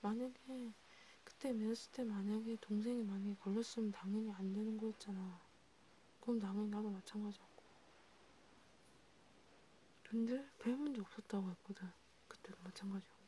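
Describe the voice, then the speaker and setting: A woman playing a sympathetic vampire counselor in a whispered ASMR role play, breathy and intimate, talking casually and haltingly. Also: medium pitch, steady tone, warm tone, slight background noise, close to an everyday voice. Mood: soft, reflective. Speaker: ASMR creator.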